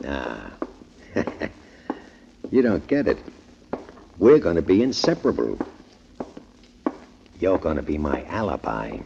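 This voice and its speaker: An actor playing a menacing, smug racketeer who sneers and taunts in a 1940s radio crime drama. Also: male voice, extremely low pitch, very animated, takes it slowly, creaky, pauses often, full character voice. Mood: sly, amused. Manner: sneering, taunting, tough-guy talk. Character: menacing, smug male racketeer muscling into boxing. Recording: muffled, noisy 1940s radio recording.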